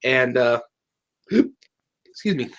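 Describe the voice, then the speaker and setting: A man's voice: mid-range voice, takes it slowly. A man co-hosting a casual review chat over a webcam, joking and ranting, often restarting his sentences. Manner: excitable, joking, trails off and restarts. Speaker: co-host of a review video. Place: indoor room.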